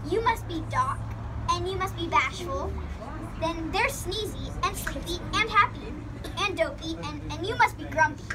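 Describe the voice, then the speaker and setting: A woman's voice: extremely high pitch, expressive, normal speed. A female drama club member reading a storybook aloud to a group on an outdoor patio. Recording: distant mic, loud outdoor traffic noise.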